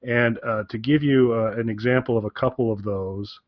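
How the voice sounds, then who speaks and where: A man co-hosting a podcast, giving a casual recommendation in a rambling, halting way and often repeating his phrases. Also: medium pitch, steady tone, dry recording, highs cut off. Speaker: podcast co-host.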